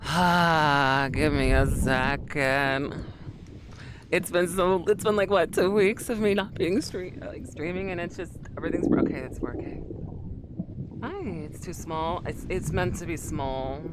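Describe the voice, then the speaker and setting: A woman's voice: deep voice, steady tone, measured pace, very noisy. A woman streaming and chatting casually with her viewers, rambling and calling them sweetie.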